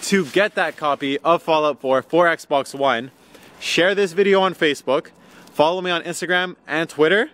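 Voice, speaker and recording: A man's voice: a little high, moderate pace, fairly bright tone. A man in his twenties vlogging to his viewers, upbeat and hyped, filming himself outdoors in the woods at arm's length. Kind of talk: energetic vlog shout-outs and hiking commentary. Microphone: handheld camera at arm's length.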